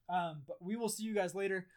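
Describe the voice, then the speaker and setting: A hoarse man with a slightly high voice hosts a casual gaming podcast. He rambles and swears freely, and his talk is full of fillers and restarts. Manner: rambling, filler-heavy, blunt and profane. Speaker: podcast host. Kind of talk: casual chat.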